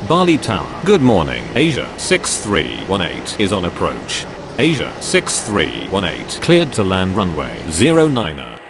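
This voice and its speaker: A man's voice: deep voice, huge pitch swings, measured pace, background noise, heavily acted. A man voicing a businesslike airline pilot and controller in a game, using clipped radio phraseology.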